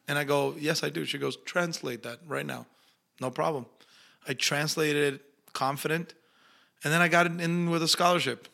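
A young man with an Argentine accent, a Spanish-speaking actor telling stories in a casual interview, animated and acting out the people he quotes. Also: fairly high pitch, moderate pace, vocal fry. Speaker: actor guest.

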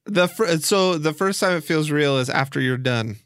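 A man's voice: fairly high pitch, normal speed, a bit bright, no echo, studio quality. A man working as an interviewer, talking casually and conversationally as he thinks through his questions.

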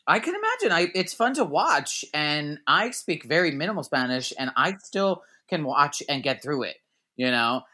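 A man's voice: fairly high pitch, big pitch swings, moderate pace, pushed and bright. A man hosting an interview, asking questions and chatting enthusiastically. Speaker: interview host. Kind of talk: casual interview chat.

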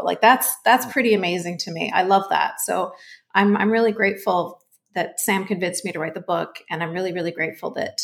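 A woman born and raised in Canada, a guest author in a podcast interview, telling her story in an earnest, grateful way. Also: mid-range voice.

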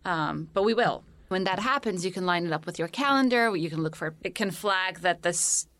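Woman, panel guest, from Egypt, enthusiastic, articulate, lowish voice. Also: lively intonation, normal speed, fairly bright tone, no room sound. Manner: articulate, enthusiastic, explains with many examples. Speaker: tech company founder, guest. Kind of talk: panel conversation.

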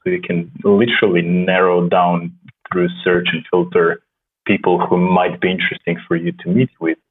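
A man with a Slovenian accent, a startup co-founder chatting in a soft-spoken, halting way with many restarts. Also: deep voice, little pitch movement, slight background noise. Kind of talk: casual conversation. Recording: tinny phone-like line.

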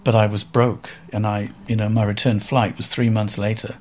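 A man around seventy with a Welsh accent, a photographer telling stories in an interview in a reflective, halting way with many pauses and fillers. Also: lowish voice, flat delivery, a little mellow, slight background noise. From Wales. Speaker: photographer guest.

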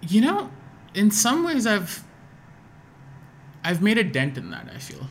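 A man's voice: high voice, wildly swooping pitch, unhurried, creaky, fairly bright tone, a little hiss or hum. A young man from Pakistan speaks in a casual podcast chat. His American accent comes through as he circles his thoughts with fillers and false starts. A faint room ambience sits behind him. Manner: hesitant, restarts often, many fillers. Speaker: guest musician.

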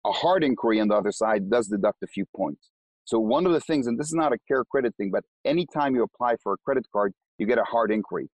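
A man's voice: medium pitch, expressive, fast talker, a little mellow, no room sound. A man with an Israeli accent, a company co-founder, explaining his business in an interview and often repeating words as he starts sentences.

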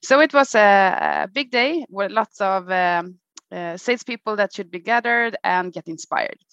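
A woman's voice: mid-range voice, measured pace, creaky, a bit bright, clean tone, no echo, muffled recording. Chatty woman host, dry sound. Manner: chatty, laughs often, upbeat. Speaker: podcast host. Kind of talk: casual interview.

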